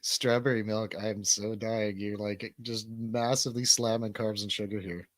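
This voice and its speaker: A man with a lowish voice hosts a casual livestream. He talks in an informal, bantering way. The sound is very dry, with no room echo. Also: flat delivery, moderate pace. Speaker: livestream host.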